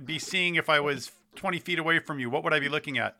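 A man's voice: fairly high pitch, fast, sharp tone. A man hosting a podcast, interviewing and presenting in a conversational style.

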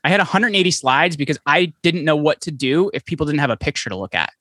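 Fast-talking, bright-voiced man. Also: a little high, no echo. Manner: fast, animated storytelling with many restarts. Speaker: podcast guest. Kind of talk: conversational interview. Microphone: podcast microphone, remote call.

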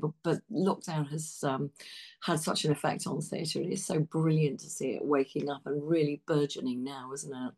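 A woman with an English accent and a low voice talks casually as a guest. She is effusive and leans on emphatic words. Her sentences often break off and pick up again as she searches for the thought. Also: a little mellow. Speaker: interview guest, theatre practitioner. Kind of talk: casual chat.

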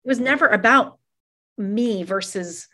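A middle-aged woman from Iran, talking fast and animatedly as an interview guest, with many fillers and restarts. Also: fairly high pitch, bright, forceful tone. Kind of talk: reflective interview conversation.

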